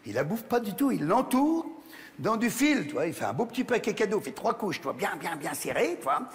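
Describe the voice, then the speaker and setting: A man with a Champagne accent doing a crude, animated stand-up routine on a large theatre stage, talking straight to the audience. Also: high-pitched, fairly quick. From France, Champagne region. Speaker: stand-up comedian. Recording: live theatre with long reverb and crowd.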